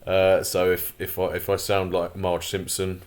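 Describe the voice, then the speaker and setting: Vlog host, male, deep and croaky, rambly and opinionated. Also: completely flat delivery, a little slow. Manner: rambling, many fillers and restarts; opinionated, grumbling asides. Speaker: channel host. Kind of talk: casual commentary vlog.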